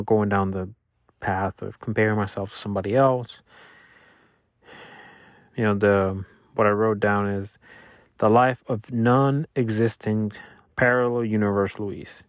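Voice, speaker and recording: A man in his thirties, a native Spanish speaker, reflects on his week in a relaxed monologue. His voice sits low, and he often pauses and restarts as he thinks. The recording is close and dry, with no room sound. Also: no echo. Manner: thoughtful, halting, restarts often. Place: Louisiana.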